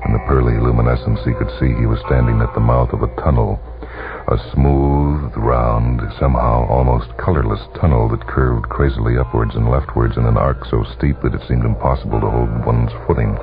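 Very deep-voiced man narrating on tinny radio. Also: flat delivery, normal speed. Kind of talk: dramatic radio short-story reading. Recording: tinny, band-limited vintage radio broadcast. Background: music under the voice.

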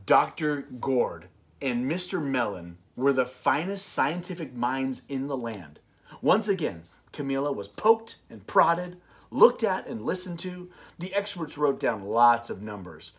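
A man in his thirties narrates a children's storybook with animated, varied intonation. His mid-pitched voice moves between expressive reading and casual chat. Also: a little slow. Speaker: co-host of a children's read-aloud show. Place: small indoor room, on a couch.

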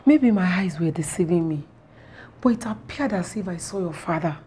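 A woman's voice: on the low side, expressive, moderate pace, warm tone, a little hiss or hum, lightly acted. An actress playing a defiant, scheming mother in a screen drama. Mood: anxious.

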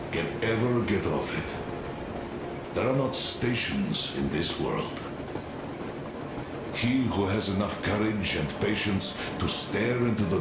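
A very deep-voiced, solemn wanderer, speaks like a calm game mystic. His slow, measured words echo over loud rumbling noise. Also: heavily acted. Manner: measured, philosophical, grave. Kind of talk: dramatic video game acting. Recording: echoey, with loud train rumble.

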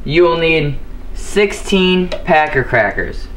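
Teen male host, slightly high voice, deadpan scripted cooking demo, home kitchen, camera mic. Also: takes it slowly, a little hiss or hum. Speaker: host of a mock cooking show. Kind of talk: scripted mock cooking demonstration. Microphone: camera mic, a few steps away.

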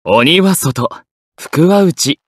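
A man's voice: on the high side, expressive, drawn-out words, husky, no room sound, studio quality, exaggerated voice. A man voicing a cultured, dignified sword warrior in an anime game, composed and explanatory. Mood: composed, knowing.

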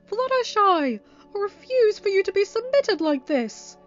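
Woman as male chaos creature, dramatic storybook character, devoted, protective, emphatic drawn-out delivery, muffled lo-fi recording. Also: a little high, warm tone, pitched above the performer's own voice, lightly acted. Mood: indignant, pleading. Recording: muffled lo-fi audio with music.

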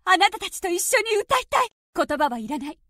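A woman's voice: extremely high pitch, sing-song, brisk, bright, forceful tone, no room sound, clean studio sound, heavily acted. A woman voicing a confident, mysterious young diva, commanding and teasing, in anime-style device prompts. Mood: cool, confident. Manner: commanding, teasing, self-assured.

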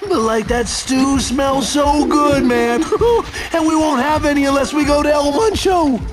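A man playing a goofy, cowardly, always hungry young slacker in a cartoon video game, with a reedy, cracking voice and slangy, drawn-out talk peppered with "like". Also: extremely high pitch, steady tone, noisy background. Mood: stumped. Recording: background music under dialogue.

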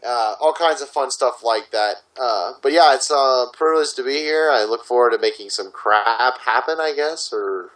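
A man's voice: mid-range voice, moderate pace, hardly any pauses, fairly bright tone, rough recording. A man, an amateur director and voice actor, chatting in a jokey way on an online voice call. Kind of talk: casual chat.

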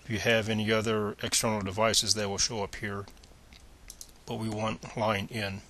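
A man with a fairly low voice and a bright, forward edge gives step-by-step computer instructions. He is plain and methodical, speaking as a screencast presenter. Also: little pitch movement, normal speed. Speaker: tutorial presenter.

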